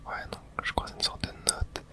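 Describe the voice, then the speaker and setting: A person whispering a breathy, unvoiced ASMR running commentary while playing a video game, in a soft, hesitant murmur. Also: fairly quick. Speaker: gaming video host.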